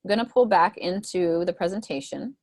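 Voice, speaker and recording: A woman guides a group of teachers through a presentation over a video call, in a lowish voice. She talks conversationally and keeps checking in with "right?" asides. The sound is dry and close. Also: lively intonation, a little fast, a little mellow, dry recording. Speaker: school mentor presenting to teachers.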